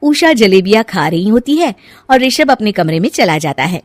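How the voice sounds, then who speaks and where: A woman's voice: medium pitch, big pitch swings, normal speed, dry recording. A woman storyteller reading scripted narration for a cartoon family story.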